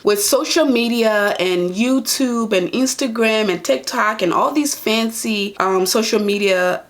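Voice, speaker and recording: A woman's voice: on the high side, measured pace. A young woman from Liberia, a nurse and vlogger, answering viewer questions in an earnest, casual chat to camera in a small room. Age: young adult. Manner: earnest, talks straight to viewers.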